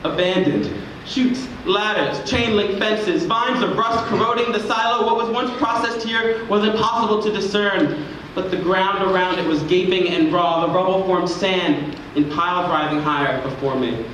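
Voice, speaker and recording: High-voiced man performing poetry in echoey room. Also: steady tone, fairly bright tone, recorded from a distance. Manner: dramatic, deliberate delivery. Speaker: spoken word poet. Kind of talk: theatrical spoken word performance on stage. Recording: echoey, noisy room recording from afar.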